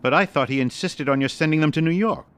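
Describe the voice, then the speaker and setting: A man's voice: fairly high pitch, fast, a bit bright, smooth, lightly acted. An actor playing a breezy, wisecracking young man in an audio mystery drama, terse and full of period slang. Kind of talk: audio re-creation of a 1930s mystery film.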